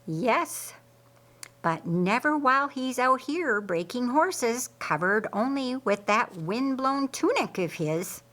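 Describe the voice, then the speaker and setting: A woman's voice: on the high side, animated, slow pace, fairly bright tone, pitched above the performer's own voice. A woman narrator voicing a smirking, teasing sister in a Bible story, sly and pointed.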